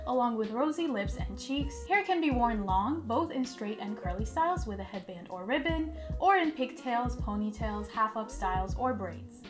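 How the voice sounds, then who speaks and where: A young woman in her twenties, a native Georgian speaker, narrating a scripted fashion style guide in a soft, airy, gentle voice. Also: a little high. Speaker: fashion YouTuber. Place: bright room by a curtained window. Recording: clear music under voice.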